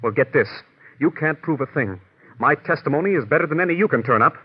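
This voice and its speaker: An actor playing a big, defiant, cornered murderer in an old-time radio drama, husky and curt. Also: male voice, fairly high pitch, expressive, fast. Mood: defiant, angry. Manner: sneering, curt. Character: sneering, defiant big man, cornered murderous doctor villain. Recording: muffled vintage radio recording.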